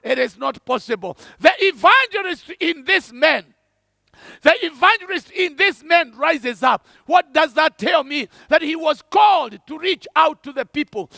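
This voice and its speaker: A man shouts his sermon in a very high, gravelly voice. The tone is bright and forceful, the sound dry and close. He preaches fervently and hammers each point home through repetition. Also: dry recording.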